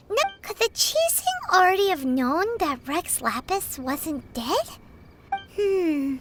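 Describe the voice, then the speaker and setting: Squeaky, very high little fairy girl. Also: noisy background, heavily acted. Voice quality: squeaky, childlike. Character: tiny childlike fairy companion, perky, curious, dramatic. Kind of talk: video game voice acting.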